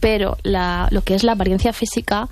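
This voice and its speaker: A woman's voice: mid-range voice, steady tone, fairly quick, slight background noise. A woman, an author who works at a museum, speaking as an interview guest in a thoughtful, explanatory way with frequent hesitations. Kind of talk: conversational interview.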